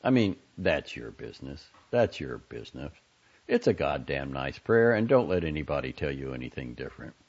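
A man reading aloud and voicing a blunt young man talking to his sister on the phone, gruff and earnest. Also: extremely low pitch, sing-song, normal speed, darkish tone, exaggerated voice. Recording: dull lo-fi audio.

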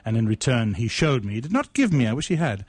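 A man's voice: medium pitch, big pitch swings, quick pace, darkish tone, slightly rough. A man who is a poet and co-host, talking and reciting in a reflective, theatrical manner with florid wording. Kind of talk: casual chat and recited poetry.

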